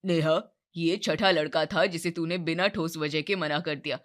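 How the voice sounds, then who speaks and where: A woman's voice: low-pitched, fairly even intonation, moderate pace, voice pushed down, close to an everyday voice. A woman plays a middle-aged father in a cartoon moral tale, dropping her voice well down. He is kindly, concerned and questioning. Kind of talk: moral-story cartoon voice acting.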